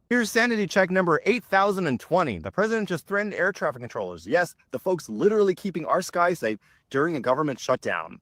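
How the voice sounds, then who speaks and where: A man's voice: on the high side, fairly bright tone, dry recording, studio quality. A man with an American accent reading scripted satirical news in a wry, sarcastic way.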